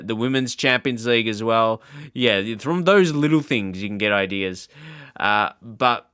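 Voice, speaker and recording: A man hosting a gaming channel, giving casual, off-the-cuff reaction commentary in a rambling, halting, informal way. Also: mid-range voice, a bit bright, clean tone, no echo, studio quality.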